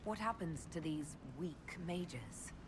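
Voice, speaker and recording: An actress playing a composed military leader heroine in a video game, speaking in a calm, direct, probing way. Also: female voice, deep voice, takes it slowly, very noisy.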